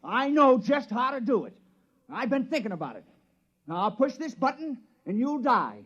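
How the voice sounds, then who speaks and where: Radio drama: naive small-town would-be outlaw, high and animated, earnest, hesitant, apologetic. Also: male voice, moderate pace, husky, full character voice. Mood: nervous bravado. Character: earnest, gentle village man posing as town criminal. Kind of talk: old radio drama acting. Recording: dull, band-limited old radio recording.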